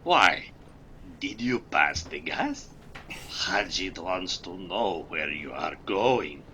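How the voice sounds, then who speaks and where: A man playing a drunk, gruff, suspicious cat-man town guard in a fantasy video game. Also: sits low, very animated, slow, pushed and bright, slight background noise, full character voice.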